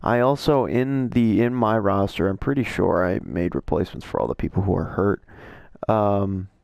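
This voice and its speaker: A hoarse man with a low, gravelly voice talks casually through his opinions as a YouTube creator. He rambles and corrects himself as he goes. The sound is dry and close. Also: moderate pace, darkish tone, dry recording. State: hoarse, voice a little shot. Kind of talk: casual commentary over an on-screen roster.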